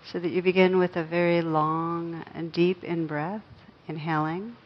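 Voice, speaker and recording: A woman teaching guided meditation, speaking gently and unhurriedly with long pauses between phrases. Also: fairly low pitch, fairly even intonation, muffled recording.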